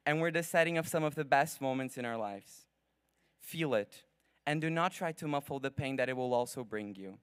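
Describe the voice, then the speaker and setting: A young man whose first language is Portuguese, giving an earnest, formal graduation speech from a podium at a ceremony, with measured pacing and dramatic pauses. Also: mid-range voice, a bit bright, smooth, no echo, clean studio sound. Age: young adult. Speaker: graduating student speaker. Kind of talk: prepared commencement speech.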